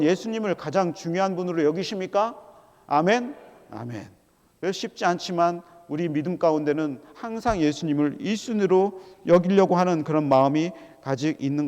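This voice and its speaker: Middle-aged man, pastor, sermon, animated with quoted voices, slightly high voice, long church reverb. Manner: animated preaching, acting out quoted voices, calling for amens. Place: large church sanctuary.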